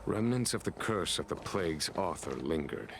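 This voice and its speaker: An actor playing a calm general who speaks formally and measuredly in a video game. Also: male voice, deep voice, normal speed, vocal fry, slight background noise, heavily acted. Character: calm male general addressing allies. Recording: game audio over music.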